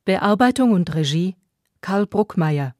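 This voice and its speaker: A woman's voice: mid-range voice, lively intonation, slow, mellow, dry recording, studio quality. A woman making a scripted radio announcement as the reader of production credits.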